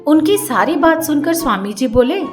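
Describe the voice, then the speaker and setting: A woman narrates a children's fairy tale from a script. Her voice is high and very lively in pitch, expressive throughout, with faint music underneath. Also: moderate pace. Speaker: story narrator.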